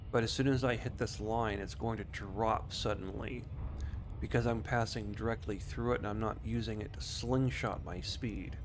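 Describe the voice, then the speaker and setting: A man giving casual, rambling live commentary as he streams a game, explaining things loosely. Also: fairly low pitch. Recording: noisy home streaming setup.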